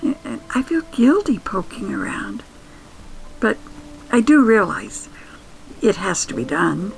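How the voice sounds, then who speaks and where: An older woman telling nostalgic first-person stories in an intimate, wistful, sentimental manner, with musing pauses. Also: mid-range voice, very animated, creaky, slight background noise, rough recording. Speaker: podcast host and storyteller.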